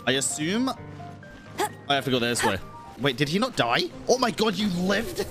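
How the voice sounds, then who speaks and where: A man's voice: a little high, very animated, normal speed, over music. A man in his thirties giving casual live stream commentary, reacting aloud to a game and chatting to viewers.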